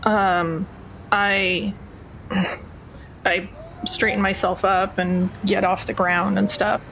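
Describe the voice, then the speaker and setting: A woman's voice: mid-range voice, little pitch movement, slow pace, fairly bright tone, a little hiss or hum, poor audio. A woman chatting informally and a little hesitantly as a player in a tabletop role-playing game. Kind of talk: casual game chat.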